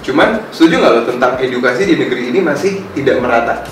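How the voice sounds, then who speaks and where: A young man hosting a casual interview, eager and quick to agree, often laughing and repeating his assent. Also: mid-range voice, lively intonation. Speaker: interviewer host. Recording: echoey room, distant mic, faint noise.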